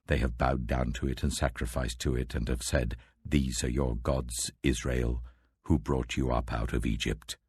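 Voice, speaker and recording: A man's voice: very deep voice, fairly even intonation, normal speed, a little mellow, breathy edge, no room sound, voice pushed down, heavily acted. A man voicing a stern, wrathful God in a dramatic scripture reading, grave and commanding. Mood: stern anger. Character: the Lord God, stern, wrathful male deity.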